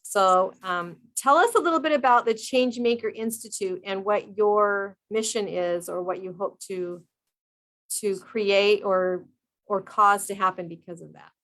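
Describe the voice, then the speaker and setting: A woman's voice: medium pitch, unhurried, a bit bright. A woman hosting a radio talk show, who reads a guest introduction and then interviews in an upbeat, enthusiastic manner.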